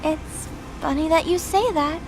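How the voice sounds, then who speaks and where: A woman playing a composed, gently defiant young-sounding mortal woman in an audio drama. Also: high-pitched, unhurried, music in the background. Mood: soft, knowing.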